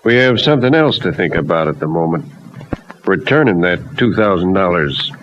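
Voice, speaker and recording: An actor playing a calm, determined mentor and business partner, plain-spoken and paternal in an old radio soap. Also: male voice, deep voice, moderate pace. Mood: concerned, practical. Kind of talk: old-time radio soap opera acting. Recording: dull, noisy old radio recording.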